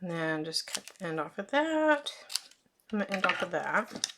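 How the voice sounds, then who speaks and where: A woman with a hoarse, fading voice, chatting casually with frequent fillers as she demonstrates card-making at her crafting desk. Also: on the low side, big pitch swings, normal speed, noisy background.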